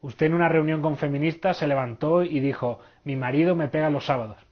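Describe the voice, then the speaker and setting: A man interviewing a guest on TV, asking measured, formal questions. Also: on the high side, highs cut off.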